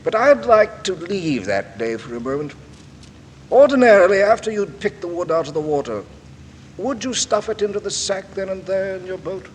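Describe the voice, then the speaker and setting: Coroner, 1940s radio drama. Polite but relentless, fairly high voice, faintly hissy old recording. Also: male voice, animated, long reverb tail, low-quality recording. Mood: probing. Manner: formal, probing, deliberately polite. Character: persistent, courteous male coroner questioning witnesses at an inquest.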